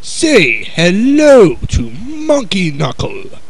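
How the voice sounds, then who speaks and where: Brawling storybook gorilla, performed by a man: furious, triumphant taunts, drawn out, big swoops into booming shouts. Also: huge pitch swings, slightly rough, full character voice. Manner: slow, emphatic, booming exclamations. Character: furious male gorilla delivering brawling catchphrases.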